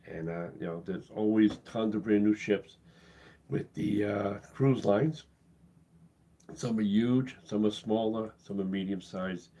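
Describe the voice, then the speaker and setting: A man hosting his cruise channel live, losing his voice and talking in a halting, casual way with many pauses and restarts. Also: fairly low pitch, fairly even intonation, mellow, lo-fi sound. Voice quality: husky. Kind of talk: casual live-stream talk.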